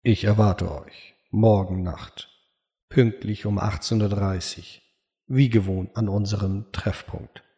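A man voicing a stern vampire sheriff's urgent summons, slow, grave and commanding, in an audio drama. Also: fairly low pitch, fairly even intonation, warm tone, pitched below the performer's own voice.

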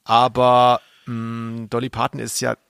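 A man's voice: mid-range voice, completely flat delivery, a little slow, vocal fry, fairly bright tone, clear, resonant tone, no room sound, studio quality. A man co-hosting a podcast in casual chat, thinking aloud in a hesitant, self-deprecating way. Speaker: podcast co-host, quiz contestant.

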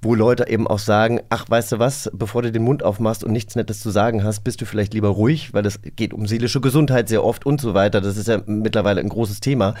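A man's voice: lowish voice, fairly even intonation, fast, hardly any pauses, darkish tone. A man hosting a casual radio talk show and quiz in a studio, chatting informally. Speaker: host.

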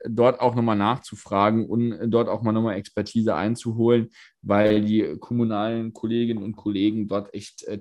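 A hoarse man co-hosting a casual political podcast, talking things through with many fillers and restarts, recorded remotely. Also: fairly low pitch, darkish tone. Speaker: podcast co-host, state politician.

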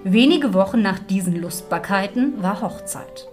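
A woman's voice: medium pitch, animated, normal speed. Woman narrating a fairy tale over music.

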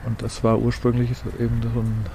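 A man's voice: medium pitch, completely flat delivery, a little fast. A middle-aged man from Hesse in Germany, acting as a local guide and explaining things in a casual storytelling way. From Lauterbach, Hesse, Germany. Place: outdoors on a walk through town. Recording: noisy outdoor recording, traffic ambience.

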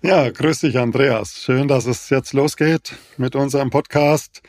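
A man in his fifties, a naturopath co-hosting a podcast, explaining in a measured, even way with frequent fillers. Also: medium pitch, no room sound, studio quality. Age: 50s. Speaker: naturopath and co-host. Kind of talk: casual podcast conversation.

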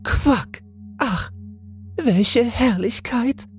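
A man's voice: very high voice, extremely slow, faint music behind, pitched above the performer's own voice, heavily acted. A man voicing a small, naive female toad full of yearning and wonder in a fairy tale, earnest and exclaiming. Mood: eager wonder. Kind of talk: fairy tale audiobook character voice.

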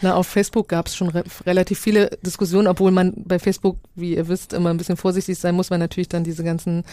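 A woman's voice: on the low side, level tone, fast, warm tone, no echo. Woman explaining casually, dry close sound. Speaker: project creator, guest.

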